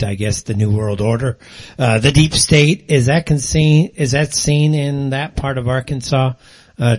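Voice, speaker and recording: A man hosting a political talk radio interview, putting wordy, halting questions to a guest. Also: mid-range voice, darkish tone. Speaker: radio show host, interviewer.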